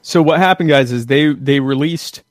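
A man hosting a casual podcast, talking in a wry, jokey and halting way. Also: mid-range voice, dry recording, clean studio sound. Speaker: podcast host. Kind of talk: casual conversational podcast chat.

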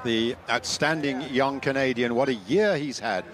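A man's voice: medium pitch, moderate pace, a crowd in the background. A man giving live co-commentary on an athletics broadcast. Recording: noisy stadium broadcast clip.

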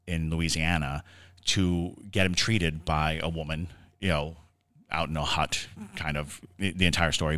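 A man co-hosting a podcast, telling stories and explaining in a casual but halting, deliberate way with drawn-out words. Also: low voice, a bit bright, dry recording, clean studio sound. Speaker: podcast co-host. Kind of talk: casual discussion and storytelling.

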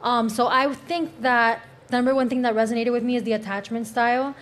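A woman with a Cuban accent and a fairly high voice speaks as a podcast panelist. She argues earnestly and draws on her own life to make her points. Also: steady tone, fairly quick, a bit bright. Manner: earnest, persuasive, speaks from personal experience. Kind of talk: casual panel discussion.

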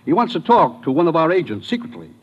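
Radio drama commissioner, husky, fairly high voice, brisk and commanding. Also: male voice, sing-song. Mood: serious, commanding. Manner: brisk, authoritative. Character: brisk, businesslike male commissioner briefing his agent. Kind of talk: 1950s radio drama acting. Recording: dull old radio recording.

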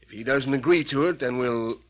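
An actor playing a steady, calming farmer who leads the townsmen in an old radio drama. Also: male voice, mid-range voice, brisk, close to an everyday voice. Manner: steady, placating. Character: middle-aged farmer, calm leader of townsmen. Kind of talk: 1940s radio drama acting. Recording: dull lo-fi old radio recording.

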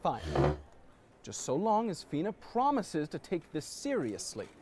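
A man's voice: fairly high pitch, huge pitch swings, measured pace, darkish tone, a little hiss or hum. A man playing a haughty, touchy young nobleman with formal, pompous diction in a comedic fantasy audio drama. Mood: indignant.

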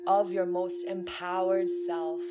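Slow, soothing woman guiding a meditation. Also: fairly low pitch, little pitch movement. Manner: slow, soothing, with long pauses. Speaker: meditation guide. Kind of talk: guided meditation. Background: loud singing bowl music.

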